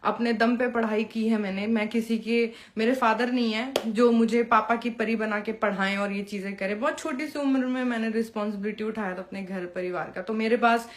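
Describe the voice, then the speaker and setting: A woman's voice: a little high, fairly even intonation, brisk, few pauses. A young woman speaks informally to a live-stream audience. She is pointed and taunting, calling people out directly. English phrases slip into her talk. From Bihar, India. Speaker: Bhojpuri performer addressing followers on a live stream. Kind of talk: informal live-stream monologue, personal call-out.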